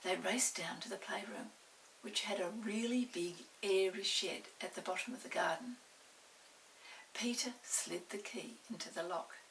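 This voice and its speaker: A woman storyteller giving an expressive reading of a children's storybook, at times dropping into breathy tones. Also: medium pitch, unhurried. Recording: slightly distant mic, faint hiss.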